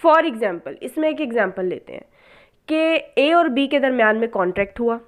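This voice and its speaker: A woman with a Pakistani accent giving an explanatory lecture, mixing in English terms, in a didactic way with questions she answers herself. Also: on the high side. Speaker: law lecturer.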